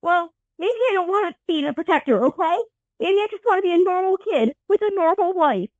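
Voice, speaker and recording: A woman's voice: extremely high pitch, lively intonation, fairly quick, darkish tone, no room sound, lo-fi sound. A woman voicing an earnest, aggrieved young teen boy hero in a fantasy audio drama, emotional and a little whiny. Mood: hurt, upset.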